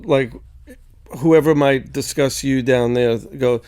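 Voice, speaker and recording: A man's voice: mid-range voice, steady tone, takes it slowly, warm tone, clean tone. An older man casually asking questions and chiming in as a podcast co-host at a studio mic. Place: wood-panelled recording studio. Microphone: studio microphone on stand.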